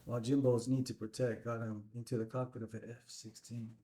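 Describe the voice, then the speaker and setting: A man acts out a rueful ex-con biker at a table read. The voice is dark and mellow, mid-pitched, with a colloquial, plain-spoken way of talking. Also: level tone, brisk, close to an everyday voice. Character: rueful male ex-convict biker recalling an old friend. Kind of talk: filmed screenplay table read.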